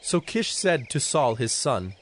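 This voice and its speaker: A man's voice: mid-range voice, sing-song, measured pace, a little hiss or hum. A man narrating a dramatized scripture reading from a script.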